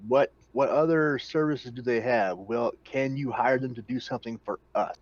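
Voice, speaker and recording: A man's voice: mid-range voice, moderate pace, lo-fi sound. A man playing a tabletop game, talking casually in a practical, questioning manner.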